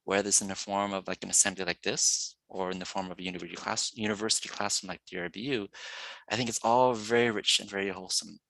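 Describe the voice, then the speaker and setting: Low gravelly man speaking earnestly. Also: fairly even intonation, fairly bright tone, dry recording, poor audio. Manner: earnest, measured, grateful. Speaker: graduating student speaker. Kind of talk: reflective speech.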